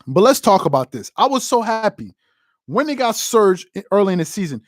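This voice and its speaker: A man's voice: sits high, very animated, brisk, no echo, studio quality. A man from the United States hosting a casual sports talk stream, reading viewer comments and giving emphatic opinions, often repeating phrases. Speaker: sports show host.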